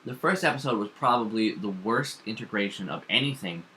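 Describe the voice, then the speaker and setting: A man co-hosting a casual talk show, giving opinions in an animated, sarcastic manner. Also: on the low side, brisk, slight background noise. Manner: animated, sarcastic, acts out mock dialogue. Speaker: co-host of a comics and TV talk show.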